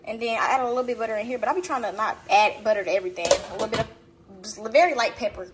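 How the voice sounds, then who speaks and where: A woman's voice: on the high side, rapid-fire, a little hiss or hum. A young woman chatting casually to viewers while she cooks in her home kitchen, filmed on a phone set on the counter. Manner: chatty, talks to viewers as y'all, chants while working. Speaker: vlogger. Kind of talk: casual cooking vlog chat.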